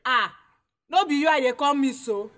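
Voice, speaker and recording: Actress doing a bossy nigerian food seller lady, high sharp voice, scolding in pidgin, radio play. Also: normal speed. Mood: brisk, bossy. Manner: scolding, no-nonsense. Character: brusque, bossy woman running a small eatery. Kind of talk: audio drama acting in Pidgin English.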